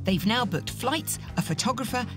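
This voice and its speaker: A woman's voice: fairly high pitch, animated, moderate pace, music in the background. A woman giving playful, scripted narration for a reality show. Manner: playful, punning.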